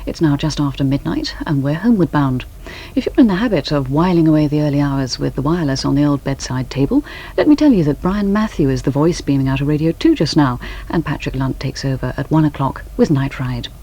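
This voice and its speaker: A woman's voice: low-pitched, animated, a little fast, dark tone, poor audio. A British woman reading scripted late-night television continuity as the announcer, on an old VHS recording of a 1980s broadcast.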